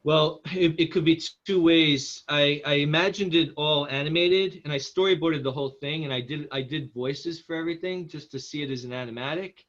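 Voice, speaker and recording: A man's voice: fairly high pitch, brisk, few pauses, fairly bright tone, muffled recording. A man speaking as a screenwriter in a casual feedback discussion, in a hesitant, self-deprecating manner.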